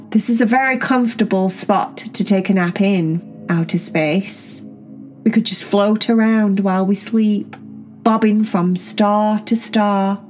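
A woman voicing a calm, sensible, smart female cat who reassures her sisters in a storybook. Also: mid-range voice, natural voice.

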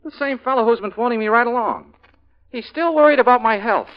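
An actor playing a bluffing, eager private detective in a 1940s radio comedy-mystery, stammering with bluster. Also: male voice, extremely high pitch, a little fast, over loud music. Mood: breezy. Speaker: radio drama lead actor. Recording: muffled old radio recording.

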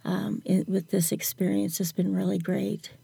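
An older woman talking reflectively as an interview guest, with halting, hesitant phrasing. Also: medium pitch, flat delivery, creaky, warm tone, husky, dry recording. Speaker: podcast guest, writer.

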